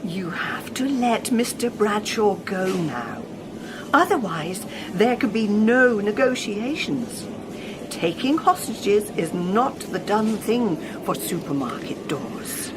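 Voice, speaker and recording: A woman's voice: mid-range voice, expressive, takes it slowly. A woman playing a prim, anxious shop assistant who reasons politely, in a comedic audio drama. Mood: polite pleading. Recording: clearly noisy background.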